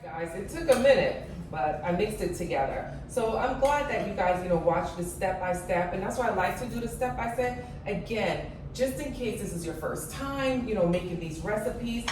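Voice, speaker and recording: A woman hosting a cooking video, explaining each step in a casual, chatty way as she cooks in her home kitchen. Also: mid-range voice. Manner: chatty, step-by-step, addresses viewers as guys. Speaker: cooking channel host. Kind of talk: casual cooking demo, talking to viewers. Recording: echoey kitchen, mic far off, noisy.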